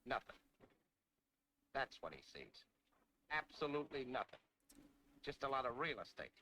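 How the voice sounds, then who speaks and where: An actor with an American accent playing a tough, grumbling tank soldier in a 1940s military training film, brash, slangy and emphatic. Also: male voice, mid-range voice, sing-song, moderate pace, close to an everyday voice. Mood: brash instruction. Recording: noisy 1940s film soundtrack, clicks.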